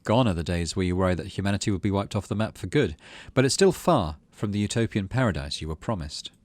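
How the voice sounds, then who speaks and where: A man reading story text from a video game aloud as an expressive narrator, with dramatic storybook delivery and casual asides. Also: deep voice, fast talker, no room sound.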